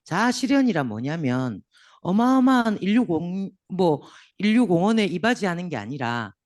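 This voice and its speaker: A man delivers a motivational seminar talk to business owners. His throat is sore and his voice comes out hoarse. He keeps his pitch lively and animated and teases the audience with questions, and the sound is dry and close. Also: moderate pace, no echo. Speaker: seminar lecturer. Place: lecture room in front of an audience.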